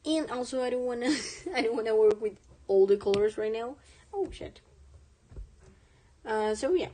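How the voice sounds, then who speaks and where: A woman's voice: on the high side, lively intonation, normal speed, warm tone. A young woman whose first language is Spanish, chatting casually while she draws on stream, rambling and correcting herself as she goes. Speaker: artist streaming a drawing session. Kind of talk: casual chat while drawing.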